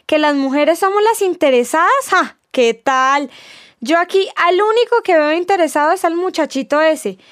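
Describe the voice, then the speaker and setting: A woman's voice: very high-pitched, lively intonation, normal speed, no room sound, clean studio sound. A woman with a Colombian accent presenting a scripted radio sketch in an indignant, sardonic, scolding way.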